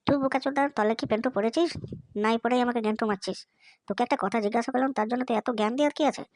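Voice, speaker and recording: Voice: mid-range voice, very fast, no echo, close to an everyday voice. A performer voicing a brash, foul-mouthed cartoon schoolboy with a cocky, scolding, slangy way of talking. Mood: irritated, scolding. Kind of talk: comedy cartoon dialogue.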